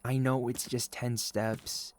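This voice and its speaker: A man playing a gentle, caring young boyfriend in an intimate ASMR roleplay, speaking softly and sincerely to an anxious partner. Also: mid-range voice, little pitch movement, background noise, close to an everyday voice. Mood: tender, teasing. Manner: soft, sincere, tender, halting when worried.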